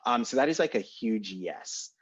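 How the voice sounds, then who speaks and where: A man's voice: fairly low pitch, animated, normal speed, breathy edge, no room sound. A young man from Kenya speaking earnestly as an alumni panelist on a video call, with many "like" fillers. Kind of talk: candid reflective panel answer. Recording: dull dry video-call audio.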